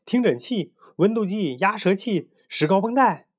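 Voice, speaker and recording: A man voicing an excitable young penguin boy who gushes with eager admiration in a children's story. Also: high-pitched, normal speed, slightly rough, voice pushed up.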